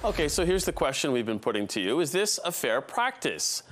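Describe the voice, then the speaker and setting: A man anchoring a news debate in a relaxed way, laughing along with guests. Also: fairly high pitch, brisk.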